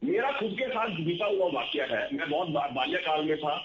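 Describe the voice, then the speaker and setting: A man's voice: on the high side, expressive, brisk, a bit bright. A man arguing as a political panelist on a TV debate, combative and insistent, hammering rhetorical questions. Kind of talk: argumentative TV debate. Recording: roomy, dull lo-fi broadcast link.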